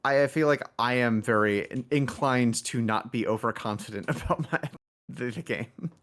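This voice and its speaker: A man speaking as a game developer guest in a casual interview, halting and self-deprecating. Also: medium pitch, a bit bright.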